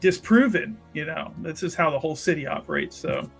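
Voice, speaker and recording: A man's voice: on the high side, wildly swooping pitch, brisk, creaky, faint music behind. A man playing a tabletop roleplaying game, improvising narration for his character in a casual, wry, conversational way.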